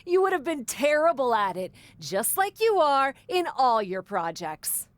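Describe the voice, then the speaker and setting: A woman's voice: high-pitched, big pitch swings, measured pace, no room sound. An actress playing a brash, vain young woman who manages wrestling shows, with a smug, sardonic, theatrical delivery in a video game cutscene. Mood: smug, mocking.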